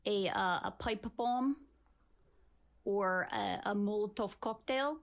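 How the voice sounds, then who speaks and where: A woman playing a deadpan female guest from Russia in an improvised comedy sketch, speaking with a put-on foreign accent. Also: medium pitch, slow pace, gravelly.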